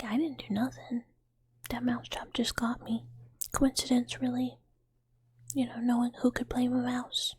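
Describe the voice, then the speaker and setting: A woman's voice: a little high, darkish tone, slightly rough, dry recording. A woman whispering through a rambling, playful ASMR chat with viewers, full of fillers. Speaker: ASMR streamer.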